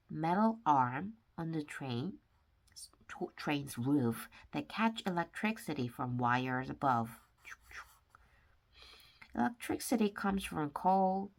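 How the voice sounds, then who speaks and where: A woman reading a children's nonfiction book aloud with simple, deliberate phrasing, sometimes stumbling over words. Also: low-pitched, creaky. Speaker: read-aloud narrator of children's books.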